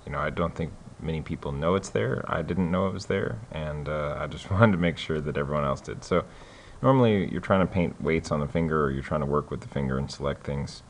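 A man hosting a casual screen-recorded software tutorial, relaxed and full of fillers and restarts. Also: rumbling low, a little fast, hardly any pauses, slight background noise.

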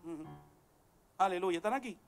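A man in his thirties preaching from a pulpit on a church stage into a handheld microphone, building from calm teaching to shouts. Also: high voice, a little fast, soft background music. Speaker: pastor. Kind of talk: bilingual church sermon.

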